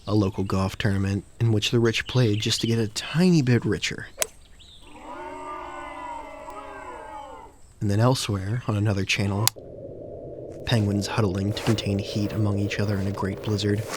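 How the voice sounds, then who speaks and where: A man acting as an uneasy protagonist who narrates a surreal audio drama in deliberate, literary, descriptive phrases. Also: on the low side, little pitch movement, background noise, natural voice. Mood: measured, descriptive. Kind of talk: scripted first-person audio drama narration.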